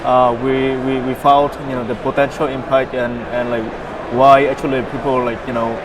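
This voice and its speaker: A young man from Vietnam answers as a founder in an interview, and he stumbles and restarts with lots of "uh" and "you know". His pitch sits mid-range for a man. Steady traffic noise and passing vehicles are clear behind him. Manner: halting, many fillers and repeated words. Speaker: startup founder, interview guest.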